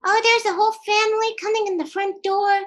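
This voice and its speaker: A woman's voice: very high voice, flat delivery, fairly quick, a bit bright. A woman co-hosting a storytime, chiming in with playful, childlike questions and comments. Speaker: storytime co-host commenting on the book.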